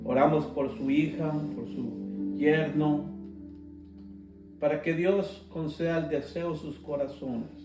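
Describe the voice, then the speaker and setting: Male pastor, fairly high voice, slow fervent preaching, echoey room, mic at a distance, soft guitar behind. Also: steady tone. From Guatemala. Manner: slow, emphatic preaching with fervent shouted calls. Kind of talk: live-streamed sermon.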